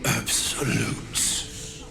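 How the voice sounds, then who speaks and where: An actor playing a lifeless corpse in a video game, answering in halting fragments with a whispery rasp. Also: male voice. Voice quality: whispery, rasping. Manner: halting, fragmented short phrases. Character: male corpse raised to answer questions, lifeless.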